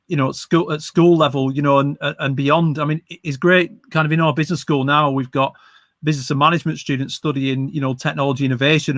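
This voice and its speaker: A man's voice: on the high side, a bit bright, dry recording, clean studio sound. A man moderating a panel discussion, appreciative, with many restarts. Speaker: event moderator.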